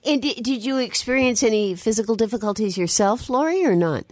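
Lively woman radio host, very dry sound. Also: fairly high pitch, a little fast, no room sound. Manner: warm, reactive interjections and questions. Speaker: radio call-in show host. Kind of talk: hosting a call-in radio talk show.

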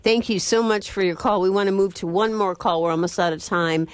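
A woman hosting a radio call-in show, briskly moving between callers and wrapping up. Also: medium pitch, fairly even intonation, clean tone, no echo. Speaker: radio talk show host.

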